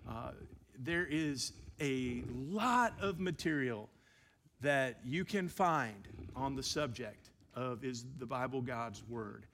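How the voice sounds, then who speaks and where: A man who is a pastor, giving an evening church teaching with a relaxed, self-deprecating manner, many fillers. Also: mid-range voice, slow pace, a little hiss or hum. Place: church sanctuary. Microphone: handheld microphone.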